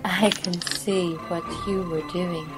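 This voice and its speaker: A woman playing a courteous alien village woman in a science fiction audio drama, gentle and formal in her phrasing. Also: fairly low pitch, steady tone, takes it slowly, rough recording. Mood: composed, polite. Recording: loud music and birdsong behind.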